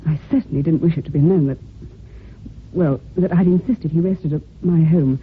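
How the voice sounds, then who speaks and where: An actress playing a prim, defensive, devoted secretary who speaks formally and emphatically in an old-time radio drama. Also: female voice, low-pitched, moderate pace, breathy edge. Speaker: actor in a radio mystery. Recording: thin, phone-like old radio recording.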